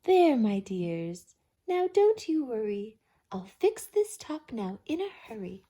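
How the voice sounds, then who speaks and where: A woman's voice: fairly high pitch, big pitch swings, takes it slowly, mellow, heavily acted. A woman voicing a happy, reassuring mother fox in a children's storybook, in a soothing manner. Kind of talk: children's storybook read-aloud.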